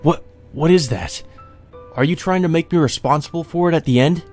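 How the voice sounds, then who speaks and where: A man's voice: fairly high pitch, brisk, darkish tone, voice pushed up, close to an everyday voice. Man acting an anguished, accusing young man. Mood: anguished, angry. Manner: incredulous, urgent, accusing. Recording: music under the voice.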